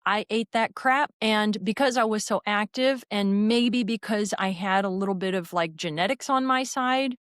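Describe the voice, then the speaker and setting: A woman hosting a fitness podcast, talking in an energetic, rambling, emphatic way. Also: mid-range voice, normal speed, few pauses, smooth, dry recording, studio quality. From raised in a military family. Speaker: podcast host and fitness trainer. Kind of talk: conversational interview.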